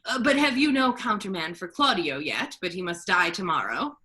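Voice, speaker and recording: A calm, reassuring friar who is secretly a duke acted by a woman speaks in a lowish, forward, bright voice. The verse is measured and persuasive, in the manner of a staged reading. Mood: calm, probing.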